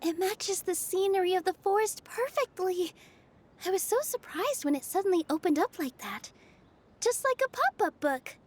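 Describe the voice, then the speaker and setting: A woman playing a gentle, earnest young female magician in a video game, soft and polite in manner. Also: very high voice, lively intonation, normal speed. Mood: delighted. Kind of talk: animated video game acting.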